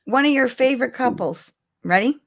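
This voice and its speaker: Middle aged lady on a fan livestream, voice a bit high, excitable and giggly, just chatting. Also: normal speed. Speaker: podcast co-host. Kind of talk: casual fan chat on a live stream.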